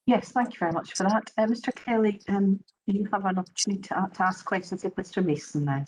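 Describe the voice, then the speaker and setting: A woman's voice: mid-range voice, fast, slight background noise, rough recording. A woman chairing an inquiry session, courteous and procedural as she hands over the questioning. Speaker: inquiry reporter. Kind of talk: chairing a hearing.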